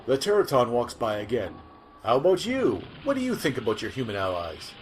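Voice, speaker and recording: A man acting an excitable, pushy sci-fi news reporter. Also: medium pitch, brisk, a little hiss or hum. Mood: curious. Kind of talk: amateur comic audio drama narration.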